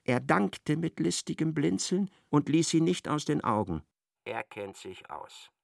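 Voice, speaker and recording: A man narrating a literary radio play in a measured, scripted manner. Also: on the high side, sing-song, vocal fry, dry recording, clean studio sound, full character voice. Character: measured male narrator of a satirical novel.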